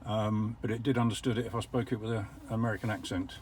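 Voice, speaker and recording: An older English man explaining how an electric car works from the driver's seat, measured and a little wry. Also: fairly low pitch, steady tone, a little mellow, a little hiss or hum. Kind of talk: casual vlog commentary. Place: parked car.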